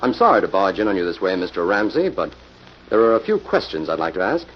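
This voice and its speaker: A man's voice: low voice, very animated, quick pace. A man playing a composed, determined investigator in an old-time radio drama. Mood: businesslike. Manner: composed, probing, businesslike. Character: calm, determined male investigator working with police. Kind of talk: 1940s radio acting. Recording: muffled, noisy old radio recording.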